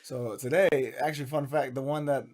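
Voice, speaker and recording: A young man co-hosting a casual gaming podcast while losing his voice, speaking in a relaxed way with fillers. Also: medium pitch, normal speed. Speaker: podcast co-host. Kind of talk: casual chat.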